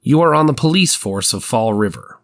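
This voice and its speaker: Trial audio drama: methodical Victorian prosecutor played by a man, mid-pitched, highly animated inflection. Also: moderate pace. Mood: formal, methodical. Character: methodical male prosecutor questioning witnesses in 1890s courtroom. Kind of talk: dramatized reading of a trial transcript.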